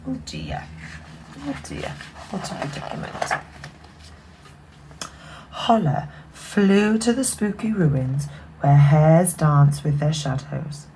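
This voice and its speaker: A woman reads a children's picture book aloud at a slow pace. Her voice is slightly low and expressive, slipping into hushed asides for the listener. A faint noise sits in the background. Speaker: bedtime story reader.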